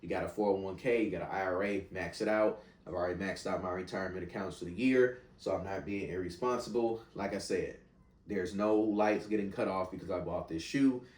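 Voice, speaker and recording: A man's voice: deep voice, a little fast, echoey room, far from the mic. A man in his thirties with a stuffy, congested voice, rambling casually through a sneaker review from home. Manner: rambling, relaxed and chatty. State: congested with a cold.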